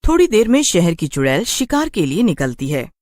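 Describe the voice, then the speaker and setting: A man's voice: sits high, huge pitch swings, normal speed, darkish tone, no room sound, studio quality. A man narrating a scripted cartoon horror story as its storyteller.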